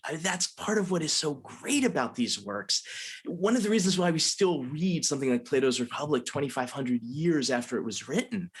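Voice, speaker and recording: A man's voice: mid-range voice, husky. A man with a slight Philadelphia accent moderates a conversation as an academic interviewer, speaking emphatically and repeating phrases for emphasis. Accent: slight Philadelphia American. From Philadelphia, United States. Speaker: interviewer, academic dean and professor.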